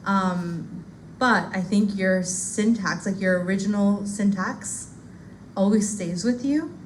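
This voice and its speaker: A woman's voice: mid-range voice, takes it slowly, slight background noise. A woman whose first language is Spanish, a poet casually answering audience questions at a bookstore reading, with lots of fillers. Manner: casual, chatty, many fillers and "like". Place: bookstore loft.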